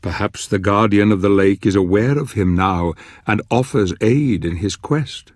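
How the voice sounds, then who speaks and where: An actor playing a grave, brooding storyteller who narrates a dark fantasy game in a deliberate, dramatic way, with a husky voice. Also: male voice, on the low side, no room sound, studio quality, natural voice. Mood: grave, ominous. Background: music.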